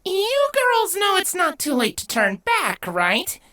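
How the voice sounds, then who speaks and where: A man's voice: extremely high pitch, huge pitch swings, takes it slowly, sharp tone, voice pushed up, heavily acted. A man voicing a worried, timid young princess filly with an anxious, questioning way of talking in a storybook reading. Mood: anxious, hesitant.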